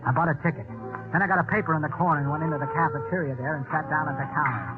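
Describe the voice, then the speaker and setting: A man's voice: on the high side, racing, natural voice. An actor playing a cold, scheming, nervous man who narrates his own crime in 1940s radio style. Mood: calculating. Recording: tinny, band-limited old radio recording, music behind.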